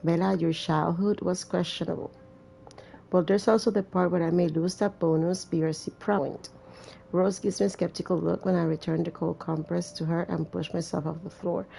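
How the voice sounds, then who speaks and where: A woman's voice: lowish voice, fairly even intonation, normal speed, gravelly, dark tone, a little hiss or hum, low-quality recording. A woman reading a visual novel aloud as a gamer, with casual, irreverent and sweary asides. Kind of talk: let's-play reading with casual commentary.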